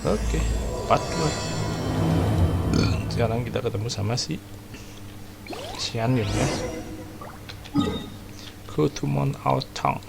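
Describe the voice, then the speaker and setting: A man's voice: medium pitch, slow, creaky, long pauses. A man with an Indonesian accent giving casual, slangy, offhand gameplay commentary as a streamer. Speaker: gaming streamer. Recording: game music behind the voice.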